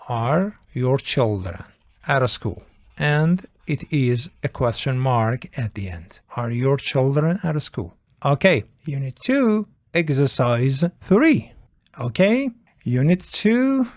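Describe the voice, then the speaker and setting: A man's voice: mid-range voice, darkish tone, dry recording, clean studio sound. A man teaching an English grammar lesson, working through exercises patiently, repeating phrases and making small grammar slips. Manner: slow, repetitive, patient explaining with non-native slips.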